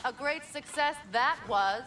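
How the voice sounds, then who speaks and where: An actress playing a proud, wary goddess in a video game, speaking in a curt, formal and commanding manner. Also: female voice, sits high, a little slow, sharp tone, slight background noise. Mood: bitter, wary. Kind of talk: dramatic video game acting.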